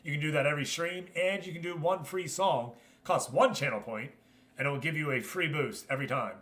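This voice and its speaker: Higher-pitched man chatting on a livestream. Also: fairly quick, a bit bright. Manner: chummy, addresses viewers as buddy and man. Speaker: livestream host. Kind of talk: casual livestream chat and game commentary.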